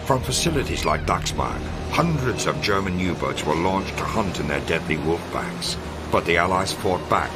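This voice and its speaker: Loud music plays under a very low voice: a grave military narrator from a war game. He delivers scripted, documentary-style lines with measured authority and a serious, commanding manner. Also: lively intonation. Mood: somber. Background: loud dramatic music.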